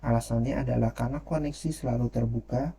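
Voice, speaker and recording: Man, very mellow dark tone, gravelly fry, formal read-out lecture, occasional stumbles, light background noise. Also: mid-range voice, steady tone, fast. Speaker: presenter reviewing a technical paper.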